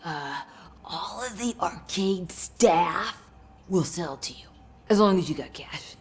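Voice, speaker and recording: A giddy, drug-addled woman in a video game, starstruck and wheedling. Her pitch swoops widely as she gushes and rambles. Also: lively intonation, slow, slightly rough, faint music behind, exaggerated voice. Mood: conspiratorial, wheedling. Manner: gushing, rambling, erratic.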